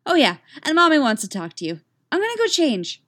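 A woman voicing an excitable, proud young girl in a story, with an eager, breathless, run-on delivery. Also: high voice, brisk, voice pushed up, heavily acted. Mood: excited, proud. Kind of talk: story character voice.

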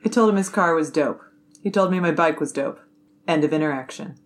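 A woman playing a tough, cool female detective, blunt, terse and deadpan. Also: sits low, fairly quick, lightly acted.

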